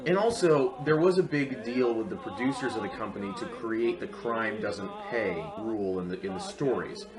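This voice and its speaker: A man's voice: lowish voice, normal speed, hardly any pauses. A man giving an informal, comedic film review with sarcastic, exaggerated commentary. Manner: sarcastic, exaggerating for comic effect. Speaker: video reviewer and host. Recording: roomy echo under music.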